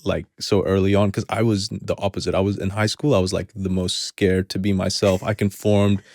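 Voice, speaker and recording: A young man talks in a casual interview, his accent a blend of Arabic, Kiwi and American. His voice sits low and stays fairly flat, and he rambles, restarting his sentences often. The sound is close and dry. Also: brisk, no room sound. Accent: hybrid of Arabic, New Zealand and American. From New Zealand. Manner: rambling, many restarts and fillers. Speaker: hip hop artist, guest.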